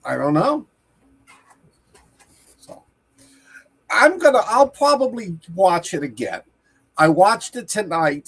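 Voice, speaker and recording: Husky Boston-accented podcaster. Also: mid-range voice, fairly bright tone. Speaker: podcast co-host, teacher. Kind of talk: casual movie review chat.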